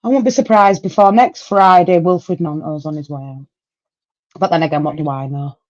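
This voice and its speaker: A woman's voice: low-pitched, steady tone, a little fast, darkish tone, muffled recording. A woman with a Yorkshire accent, hosting a casual football chat stream in a blunt, chatty way. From Yorkshire, England. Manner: blunt, chatty, local dialect. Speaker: host.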